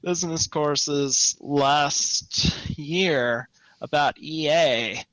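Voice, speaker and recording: A young man co-hosting a podcast in casual discussion, opinionated and thinking aloud in a halting way. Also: fairly high pitch, fairly bright tone, no room sound, rough recording. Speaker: podcast co-host. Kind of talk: casual chat, gaming discussion.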